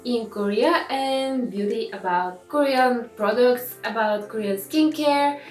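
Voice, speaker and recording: Slow Bulgarian-accented woman, echoey room. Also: fairly high pitch, faint music behind. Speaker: guest YouTuber. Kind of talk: talking to camera in her own video.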